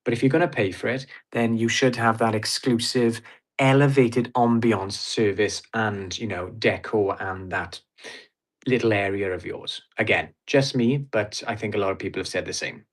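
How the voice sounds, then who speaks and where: A man with a Welsh accent and a fairly low voice talks casually on a podcast. He gives frank, opinionated verdicts and is openly enthusiastic about what he liked. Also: moderate pace. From Wales. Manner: blunt, opinionated, enthusiastic reviewer. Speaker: cruise creator guest.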